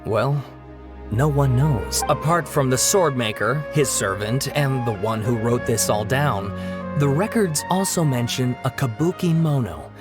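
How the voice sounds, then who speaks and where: An actor playing a stern scholar who recounts old records in a measured way and snaps impatiently. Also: mid-range voice, close to an everyday voice. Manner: measured, then curt and impatient. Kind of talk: video game voice acting. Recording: game cutscene with background music.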